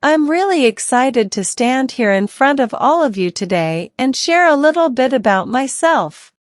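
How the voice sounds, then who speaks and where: A woman reading a scripted self-introduction slowly and clearly for learners to repeat. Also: high voice, expressive, no echo, studio quality. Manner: clear, deliberate, evenly paced delivery. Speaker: English teacher reading a model speech. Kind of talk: slow scripted reading for repetition practice.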